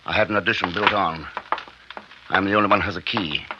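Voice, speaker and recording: An actor playing a proud, secretive, driven scientist in a 1950s radio drama, with an intense, clipped delivery. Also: male voice, deep voice, fairly even intonation, fast talker, close to an everyday voice. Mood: guarded, matter-of-fact. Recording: muffled, noisy 1950s radio recording, clicks.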